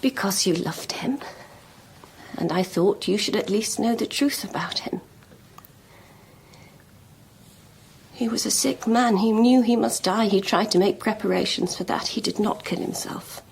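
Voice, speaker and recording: An actress playing a proud, lovelorn schoolmistress in a period radio drama, speaking frankly and deliberately with emotional intensity. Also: female voice, mid-range voice, creaky, a little mellow, slight background noise, close to an everyday voice. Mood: bitter, resolute. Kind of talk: BBC radio drama acting.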